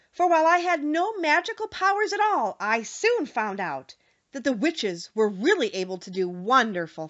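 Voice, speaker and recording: Vrouw als hoge, bekennende oude nep-tovenaar. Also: female voice, on the high side, a bit bright, lo-fi sound. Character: little old man, sorrowful, confessing humbug wizard. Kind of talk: audiobook character voice.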